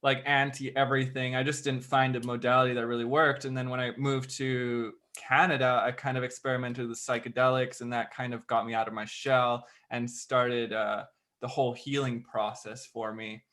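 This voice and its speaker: A man's voice: medium pitch, flat delivery, moderate pace, a bit bright. A young man co-hosting a podcast, chatting casually with lots of "like" and easy swearing. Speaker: podcast co-host.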